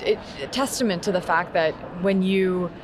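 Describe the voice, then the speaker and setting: A woman's voice: on the low side, normal speed. A woman who founded a tech startup, talking reflectively and searching for words during a street interview. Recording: outdoor street and traffic noise.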